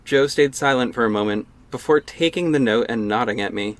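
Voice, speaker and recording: A man's voice: medium pitch, fairly quick. A young man narrating his own audio drama in the first person, wry and casual. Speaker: narrator and lead actor. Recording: music bed underneath.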